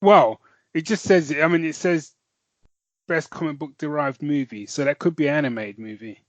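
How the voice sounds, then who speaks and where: A man's voice: on the high side, normal speed, dry recording, muffled recording. Teasing British man, dry close mic. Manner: chatty, teasing, laughs often. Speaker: podcast co-host. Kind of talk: casual chat.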